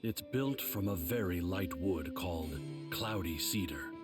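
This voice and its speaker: An actor playing a grave old mentor of demon slayers, explaining things in a measured, solemn way for a video game. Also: male voice, low-pitched, expressive, loud music behind the voice. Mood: calm, instructive. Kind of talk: English game dub, measured explanatory dialogue.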